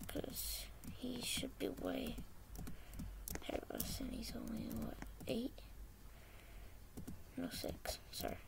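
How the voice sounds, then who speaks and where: A teenage girl talking quietly over her gameplay in a hoarse, half-whispered voice, rambling and hesitant, on a poor computer mic. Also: sits high, level tone, slow pace, long pauses. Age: teens. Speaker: gamer. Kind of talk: casual gameplay commentary. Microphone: poor-quality computer mic.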